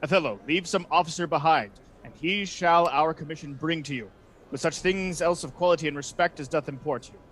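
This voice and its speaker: A man playing a brisk, authoritative duke in a Shakespearean radio play, formal and commanding. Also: a little high, a bit bright, slight background noise, natural voice. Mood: decisive.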